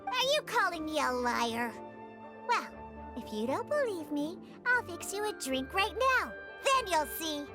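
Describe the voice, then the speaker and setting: A woman's voice: very high-pitched, very animated, measured pace, music in the background, full character voice. A woman voicing a feisty, proud little cat-girl bartender in a video game, pouty and assertive. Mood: indignant, challenging.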